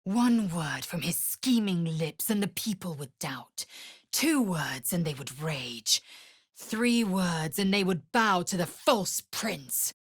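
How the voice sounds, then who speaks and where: A woman playing a fierce, proud, disdainful young githyanki warrior in a video game, speaking in a husky, breathy, imperious and clipped way. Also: fairly low pitch, animated, dry recording, studio quality, exaggerated voice. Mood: proud, solemn. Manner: imperious, clipped, deliberate, formal.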